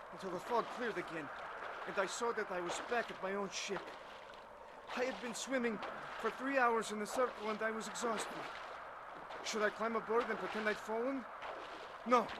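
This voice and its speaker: A man's voice: high voice, background noise, natural voice. An actor playing an earnest, haunted young Russian defector who tells his story in a dramatized testimony. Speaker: first-person narrator of a dramatized testimony. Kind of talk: dramatized scripted narration.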